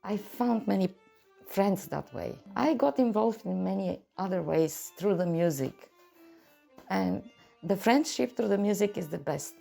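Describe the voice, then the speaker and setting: Woman in her 60s, slow, gravelly, with faint music behind. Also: medium pitch, lively intonation, mellow. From Bulgaria. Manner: measured, hesitant, frequent "uh" pauses. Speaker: adult violin student. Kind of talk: documentary interview talk.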